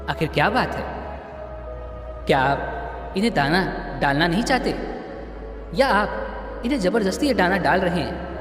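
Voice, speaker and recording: An earnest young seeker asks questions in a pleading voice, read by a man in a story narration. The pitch moves a lot, and a long reverb hangs on the voice over music. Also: animated, moderate pace, pauses often. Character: earnest young man seeking true happiness.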